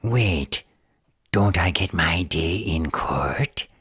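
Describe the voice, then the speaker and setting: A man playing a sullen gremlin villain in a fantasy audio drama, with a husky, breathy rasp and a whiny, defiant way of talking. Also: deep voice, lively intonation, extremely slow, fairly bright tone, dry recording, studio quality, full character voice. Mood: indignant protest. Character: sullen, guilty male gremlin stalker in custody.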